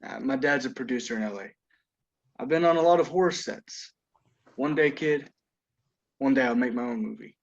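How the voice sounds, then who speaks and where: A man's voice: medium pitch, moderate pace, pauses often, natural voice. A man playing a cocky, slyly menacing young horror fan in a script read-through over a video call, with dull, muffled sound. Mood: confiding.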